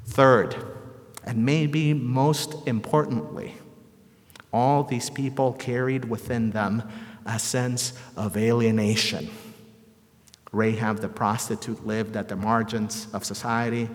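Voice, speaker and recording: A man from El Salvador preaching a sermon in a measured, rhetorical way with deliberate phrasing and repetition. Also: mid-range voice, steady tone. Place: large reverberant church or hall. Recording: echoey hall with long reverb.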